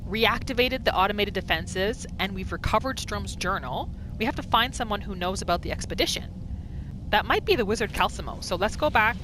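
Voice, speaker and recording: Animated woman doing gameplay commentary. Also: mid-range voice, noisy background. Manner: excitable, exclaiming, narrates her character's thoughts. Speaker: gaming video host.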